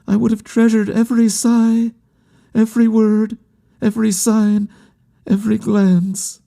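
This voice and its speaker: A man voicing a grieving, devoted woman in a literary audiobook, pleading and intense in a hushed, drawn-out delivery. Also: high voice, little pitch movement, creaky, pitched above the performer's own voice. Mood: fervent, anguished. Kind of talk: dramatic literary audiobook character acting.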